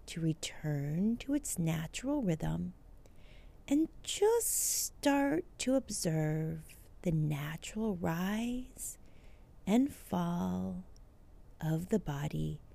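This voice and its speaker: Very slow, low-pitched woman guiding meditation. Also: mellow. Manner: slow, soothing, with breathy near-whispered phrase endings. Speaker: podcast host and meditation guide. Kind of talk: guided meditation.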